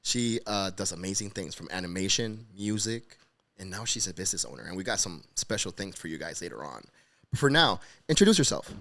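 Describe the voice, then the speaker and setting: A man from Honduras hosts a podcast, with a fairly low voice. His talk is laid-back and motivational, full of casual check-ins with his guest. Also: sing-song, a little fast, fairly bright tone. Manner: laid-back, motivational, keeps saying "you get me". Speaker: podcast host. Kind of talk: casual interview chat.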